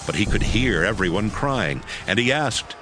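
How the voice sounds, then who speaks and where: A man's voice: lowish voice, sing-song, normal speed, sharp tone, soft background music. A man narrating a dramatized audiobook as a scripted storyteller.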